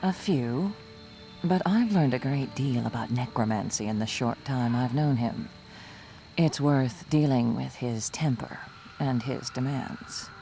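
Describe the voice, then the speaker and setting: An actress playing an earnest, resolute human necromancer in a fantasy video game, speaking in a measured, solemn way. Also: female voice, a bass voice, warm tone. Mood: calm. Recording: faint music underneath.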